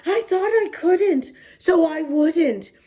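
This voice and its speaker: A woman's voice: very high-pitched, expressive, slow, warm tone, pitched above the performer's own voice, full character voice. A woman voicing a playful, kind young female hippo in a storybook, bubbly and reassuring to her friend.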